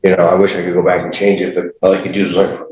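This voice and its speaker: A man in his forties speaking as a defendant, making a halting, contrite statement in court. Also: deep voice, completely flat delivery. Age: 40s. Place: echoey indoor courtroom. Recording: echoey, muffled, faint noise.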